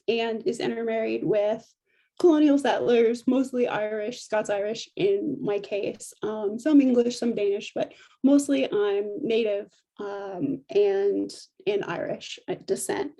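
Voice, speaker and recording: A middle-aged woman professor giving a measured lecture, her voice a little affected by allergies, with frequent small restarts. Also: fairly high pitch, mellow.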